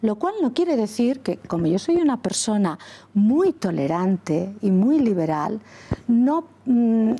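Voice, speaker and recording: A middle-aged woman from Catalonia, a former school principal, answering interview questions earnestly and deliberately, pausing and correcting herself often. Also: medium pitch, sing-song, dark tone, husky. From Catalonia, Spain. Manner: deliberate, with frequent pauses and self-corrections.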